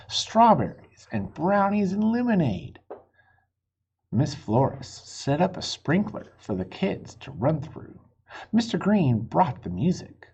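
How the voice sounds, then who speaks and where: A man reading a picture book aloud to children in an encouraging, husky voice, pausing to explain and ask questions. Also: fairly high pitch, darkish tone, muffled recording, a little hiss or hum. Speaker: children's story reader.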